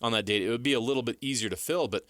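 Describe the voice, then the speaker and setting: A man's voice: mid-range voice, very fast, a bit bright, no room sound, studio quality. A man co-hosting a sports talk show, giving casual analysis in conversation. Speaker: sports radio co-host. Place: radio studio.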